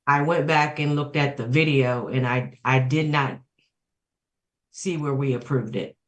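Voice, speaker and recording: Low-voiced woman on a council call. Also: measured pace. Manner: polite and hesitant, with apologies and fillers. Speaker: council member. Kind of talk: council meeting discussion. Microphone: video call.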